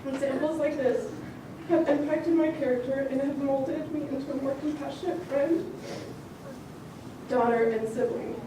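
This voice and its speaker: Brief: young female graduate speaker, higher voice, joking sincere tone, distant mic in a reverberant hall. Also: fairly even intonation, moderate pace. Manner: light, joking and tearful at times, frequent laughs. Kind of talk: ceremony speech. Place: large hall. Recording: echoey hall, far from the mic, audible noise.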